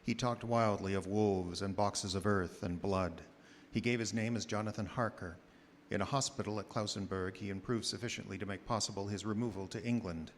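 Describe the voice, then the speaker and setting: An actor playing an earnest, formal gentleman doctor in deliberate radio drama style. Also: lowish voice, steady tone, lightly acted. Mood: formal, grave. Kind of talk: scripted radio drama narration. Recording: reverberant theater with faint background noise.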